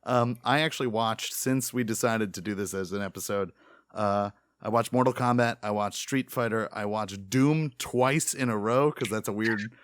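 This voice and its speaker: A man's voice: lowish voice, moderate pace, clean tone, no echo. A man chatting casually as a podcast co-host, informal and joking in a self-deprecating way. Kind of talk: casual panel chat about games and films.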